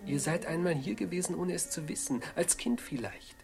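A man's voice: fairly high pitch, moderate pace, creaky, music in the background. An actor playing a loyal, anxious manservant in a German radio play, earnest and deferential toward his master. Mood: concerned, deferential.

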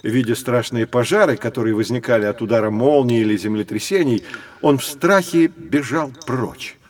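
An actor playing a learned teacher who explains history to children in a storytelling manner, in an educational audio drama. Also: male voice, mid-range voice, moderate pace, lightly acted. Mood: explanatory.

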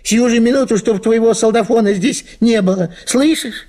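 An actor playing a blustering, teasing gentleman husband in a dramatized story, with a gravelly, husky, scolding voice. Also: very high voice, normal speed. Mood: irritated, dismissive. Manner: scolding and mocking, exclamatory. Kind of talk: dramatized literary short story reading.

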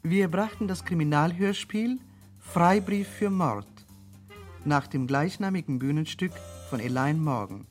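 A woman reading radio play credits as a formal, measured, scripted announcement. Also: deep voice, darkish tone, faint music behind.

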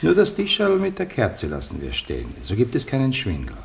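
A man acting a curious, officious sceptic in a radio play, brisk and assertive. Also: mid-range voice, huge pitch swings, vocal fry. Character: curious, officious male sceptic organizing a test.

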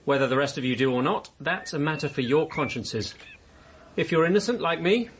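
Actor as a bored, provocative husband, noisy track. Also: male voice, fairly high pitch, expressive, fairly quick, close to an everyday voice. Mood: defiant. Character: bored, mischievous housebound husband, frank and provocative. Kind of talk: radio drama acting.

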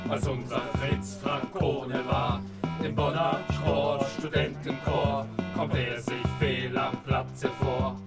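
An actor playing a jaunty, mocking balladeer who tells a rhymed tale in a radio play. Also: male voice, mid-range voice, flat delivery, takes it slowly, loud music behind the voice. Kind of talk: rhymed narration breaking into song.

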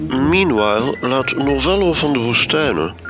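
A man's voice: mid-range voice, animated, measured pace, music in the background, natural voice. A man playing the wry narrator of a comic radio serial, with a Flemish accent and tongue-in-cheek scripted delivery.

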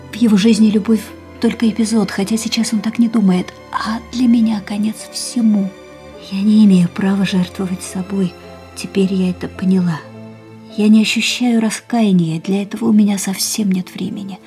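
An actress playing a young woman in a radio play, speaking an inner monologue in a soft, often whispered voice. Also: medium pitch, lightly acted. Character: young woman, fragile, resolved, inward. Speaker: heroine voicing inner monologue.

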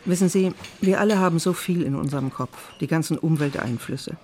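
A woman's voice: deep voice, a little fast, a little mellow, a little hiss or hum, natural voice. Earnest, lecturing woman therapist played by an actress. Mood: lecturing, intense. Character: earnest, self-absorbed female art therapist and stroke patient. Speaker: actor in a drama. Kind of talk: dialogue acting in an audio drama.

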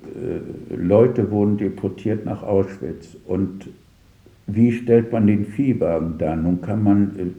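An older man reflecting on his work as a radio drama director in an interview, pausing and searching for words as he recounts anecdotes. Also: lowish voice, echoey room, phone-quality sound. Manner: halting, searching for words, pausing mid-sentence.

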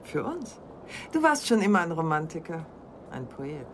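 A woman's voice: deep voice, sing-song, normal speed. An actress playing a cool, evasive middle-aged woman in an intimate radio drama, guarded and dryly teasing. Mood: wry, subdued. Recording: noisy ambience behind dialogue.

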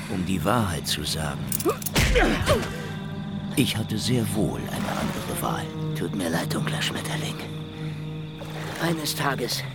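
An actor playing a wry, flippant hard-boiled detective in a noir radio drama. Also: male voice, medium pitch. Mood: wry, pressing. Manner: sardonic, flippant. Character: wry hard-boiled male detective telling his own story. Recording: loud music under the voice.

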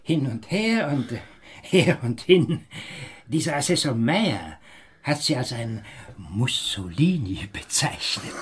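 A man's voice: fairly high pitch, a little hiss or hum. An actor playing a wry municipal aide who chuckles as he reports, in a radio drama. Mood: amused.

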